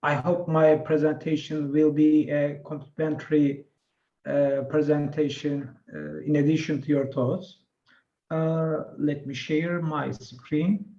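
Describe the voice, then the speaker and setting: Turkish-accented man, high and mellow. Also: level tone. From Turkey. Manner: measured, hesitant with fillers. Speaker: associate professor presenting. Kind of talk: online conference presentation. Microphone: video call. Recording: dull, echoey video call audio.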